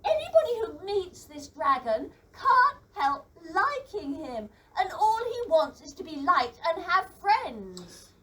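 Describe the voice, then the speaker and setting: A woman's voice: very high-pitched, sing-song, slow, hardly any pauses, bright, forceful tone, heavily acted. A woman playing an earnest, pleading young farm boy in an audio play. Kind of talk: community audio play acting. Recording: distant mic with faint background noise.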